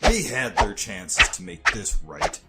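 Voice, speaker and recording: A man's voice: fairly low pitch, wildly swooping pitch, slow pace, over loud music, heavily acted. A man playing a ruthless, bitter soldier and father figure in an audio drama, speaking with disdain and self-justification. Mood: indignant. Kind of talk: audio fiction character acting.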